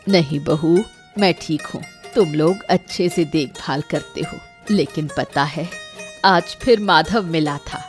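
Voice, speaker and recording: A woman's voice: deep voice, a little slow, faint music behind. A woman voicing a hardworking elderly village mother-in-law in a cartoon, proud, plain-spoken and plaintive. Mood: affectionate. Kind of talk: animated moral story acting.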